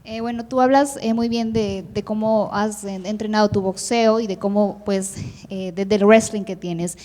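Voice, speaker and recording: A woman's voice: medium pitch, fairly even intonation, moderate pace, mellow. A woman reporter asking questions with energy and "eh" fillers. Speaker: Spanish-language reporter. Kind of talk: interview questions. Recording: echoey hall.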